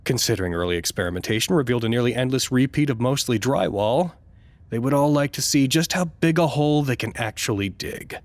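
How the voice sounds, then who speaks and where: An actor playing a formal, precise male staffer who narrates a log in a measured, wry, dryly curious way, as in an audio drama. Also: medium pitch, animated, no echo, natural voice. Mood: dryly amused. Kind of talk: scripted audio drama monologue.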